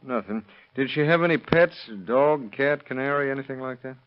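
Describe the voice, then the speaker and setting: A man's voice: medium pitch, normal speed, smooth, natural voice. An actor playing a shrewd male police detective with a dry, needling manner, in 1930s radio drama style. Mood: probing, polite. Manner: dry, needling, leading questions. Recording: dull lo-fi old radio recording.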